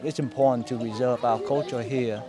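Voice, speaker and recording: A man's voice: medium pitch, fairly even intonation, moderate pace. A man speaking hesitantly in an interview, searching for words.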